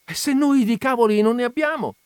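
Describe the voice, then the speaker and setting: A man's voice: very high-pitched, brisk, clear, resonant tone, no room sound, studio quality, voice pushed up. A man voicing a timid, flustered man who stammers in confusion. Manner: stammering, hesitant.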